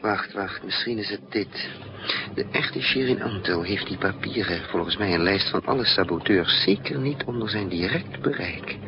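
Low-voiced spy in old radio drama. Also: male voice, expressive, moderate pace. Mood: intent, puzzling. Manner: hesitant, thinking aloud in broken phrases. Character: resourceful male spy narrating his own risky mission. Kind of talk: 1960s Dutch radio drama acting. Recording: muffled, noisy 1960s radio recording.

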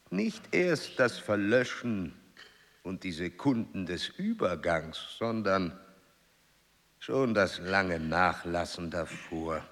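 An actor in a radio play voicing an aged, solitary, disillusioned scholar who speaks in a deliberate, oratorical way with weighty pauses. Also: male voice, sits low, a bit bright, full character voice. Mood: grave, sardonic. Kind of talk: German radio play acting. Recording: long hall reverb, music behind.

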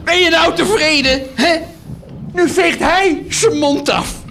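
A man's voice: very high voice, slow, sharp tone, heavily acted. An actor playing a cynical monk who peddles indulgences in a theatrical radio play, mocking and hawking like a showman. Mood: amused, taunting. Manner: hawking, sneering, exaggerated showmanship. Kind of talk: theatrical radio drama acting. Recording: noisy radio play recording.

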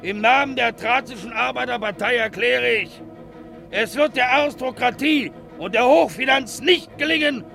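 An actor playing a fiery proletarian agitator in a satirical radio play, declaiming like a rabble-rouser. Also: male voice, extremely high pitch, vocal fry, exaggerated voice. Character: fiery proletarian party agitator rousing donkey drivers. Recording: crowd and music behind the voice.

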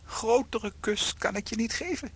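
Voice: medium pitch, normal speed, slightly rough, in a whisper. An actor in a radio play voicing a tiny shrunken husband, plaintive and melodramatic, with a small strained voice. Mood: tender, lovesick. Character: tiny ten-centimetre shrunken husband, anguished, ashamed and clingingly devoted.

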